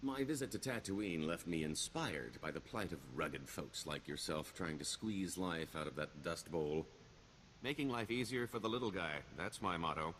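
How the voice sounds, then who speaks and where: A silver-tongued video game swindler with a low male voice that rises and dips with great expression. He is self-assured and wry, always working a sales pitch. A light layer of noise sits behind him. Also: expressive, normal speed, fairly bright tone. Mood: glib, ingratiating. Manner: wheedling salesman patter, wry. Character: smooth-talking male con-artist schemer, sly and self-assured. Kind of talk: video game dialogue acting.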